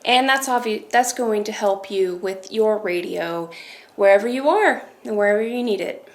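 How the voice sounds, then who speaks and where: A woman's voice: mid-range voice, normal speed. A woman presenting a casual product demonstration, explaining things step by step.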